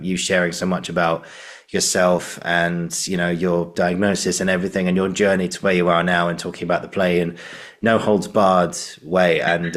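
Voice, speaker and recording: A man's voice: low voice, fairly bright tone. A man hosting a conversational interview, effusive and given to repeating himself. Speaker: podcast host.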